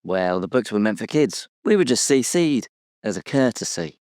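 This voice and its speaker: A man's voice: on the low side, sing-song, normal speed, a little mellow, no echo, studio quality. A British man playing a tiny, aggrieved, boastful cartoon-book character in an audio drama interview, chatty and colloquial. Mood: grumbling, candid.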